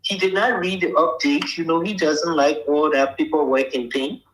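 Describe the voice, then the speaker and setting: A woman's voice: low-pitched, steady tone, moderate pace. Blunt older woman, echoey room. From Liberia. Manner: blunt, emphatic, asks "you understand?". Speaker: interview guest. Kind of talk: casual interview talk.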